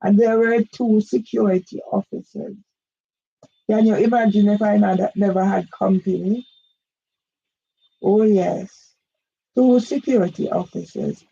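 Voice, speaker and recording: An older woman with a Jamaican accent, delivering an agitated, repetitive monologue for her own channel that rises now and then into shouts. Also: medium pitch, steady tone, measured pace, gravelly, pauses often, husky. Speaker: vlogger. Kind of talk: impassioned monologue. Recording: dull lo-fi audio.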